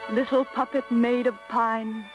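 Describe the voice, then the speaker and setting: A woman playing a gentle magical fairy with a stately, declamatory manner in 1930s radio style. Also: fairly high pitch, little pitch movement, a little slow, muffled recording, lightly acted. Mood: gracious, solemn. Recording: vintage radio broadcast, music behind.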